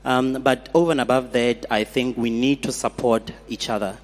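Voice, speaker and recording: A man's voice: medium pitch, steady tone, normal speed. A man from South Africa giving earnest remarks from the floor at a conference, with frequent fillers. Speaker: conference delegate. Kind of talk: audience remarks. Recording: hall reverb.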